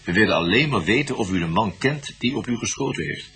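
A man's voice: on the low side, animated, quick pace, a bit bright, slightly rough, heavily acted. A patient police investigator in a radio play. His voice rises and falls freely as he coaxes and prompts, often repeating his words in reassurance. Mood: patient probing. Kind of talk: radio drama dialogue.

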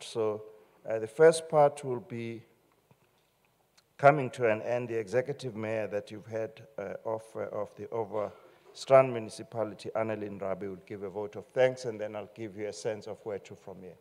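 A man's voice: lowish voice, normal speed, darkish tone. A man in his fifties hosting a formal event at a podium, good-humoured and hesitant, with many fillers. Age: 50s. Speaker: agency director-general and master of ceremonies. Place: large marquee tent at an outdoor event. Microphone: podium microphone on a stand. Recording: reverberant live event sound.

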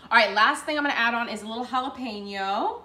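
A woman's voice: on the high side, fast talker, bright, forceful tone. A young woman hosting a live cooking show from her home kitchen, chatty and bubbly, talking to viewers as she assembles a dish. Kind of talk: live cooking demo, casual chat. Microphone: fixed camera at counter distance. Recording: echoey kitchen room.

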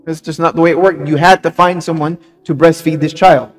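A man giving a Friday sermon, telling a story with lively emphasis, repeating phrases and putting questions to the congregation. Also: a little high, fairly quick, soft background music.

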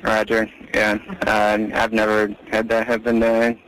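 Male air traffic controller, 30s, low even voice, reassuring and a little hesitant, over slightly noisy aviation radio. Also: unhurried.

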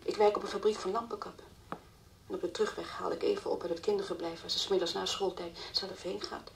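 Verdwaasde, rouwende moeder gespeeld door een vrouw, wat veraf. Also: female voice, medium pitch, steady tone, lightly acted. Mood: numb, distraught. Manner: halting, hesitant, clears her throat. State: distraught, numb. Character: grieving, dazed young divorced mother being questioned. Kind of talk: radio drama acting. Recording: distant mic, faint background noise.

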